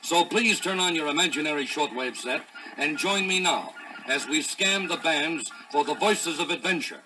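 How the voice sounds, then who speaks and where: A man's voice: fairly high pitch, lively intonation, moderate pace, noisy background. An American man narrating a 1950s promotional record as host, with a dramatic announcer's delivery. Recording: raspy-edged 1950s vinyl record.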